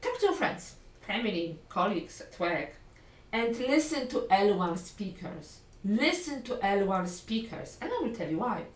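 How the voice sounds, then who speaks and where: A woman's voice: medium pitch, moderate pace. Polish-accented woman teaching in a roomy space. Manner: lively and encouraging, corrects herself mid-sentence. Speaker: language teacher. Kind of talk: video lesson talking to learners. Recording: roomy sound from a distant mic.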